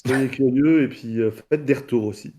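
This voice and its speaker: A man's voice: mid-range voice, normal speed, warm tone, breathy edge. A man chatting casually as a podcast participant, hesitant and understated in manner. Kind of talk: casual chat.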